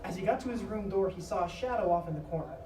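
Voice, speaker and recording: A woman narrates a scripted drama in a mid-range voice. She is heard from a distance in an echoey space, with clear noise around her. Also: medium pitch, fast. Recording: echoey live stage, distant noisy mic.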